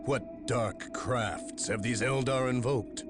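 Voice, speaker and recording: An actor playing an earnest space marine subordinate in a video game, intense and formal. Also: male voice, mid-range voice, slow, music in the background, lightly acted. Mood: alarmed. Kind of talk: dramatic video game acting.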